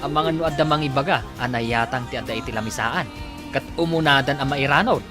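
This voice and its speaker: A man's voice: mid-range voice, a little fast, over music, lightly acted. A man playing a joking, food-minded village wedding guest in an Ilocano radio comedy. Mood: jokey.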